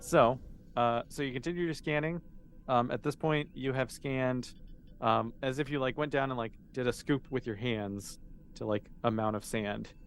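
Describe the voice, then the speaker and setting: A man's voice: medium pitch, normal speed, faint music behind. A man acting as game master, casually improvising narration for a tabletop role-playing game and thinking aloud as he goes.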